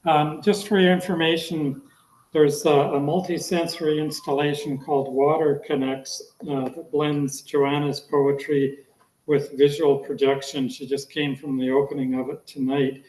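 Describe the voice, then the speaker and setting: Soft-spoken man hosting a reading. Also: a little high, flat delivery. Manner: quiet, halting thanks with fillers. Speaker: reading series host. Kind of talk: event announcement. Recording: echoey room.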